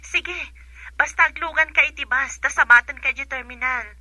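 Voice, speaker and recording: A woman's voice: high-pitched, fairly quick, pushed and bright. A young woman with an Ilocano accent playing a dutiful young nurse in a radio drama. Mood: friendly, upbeat.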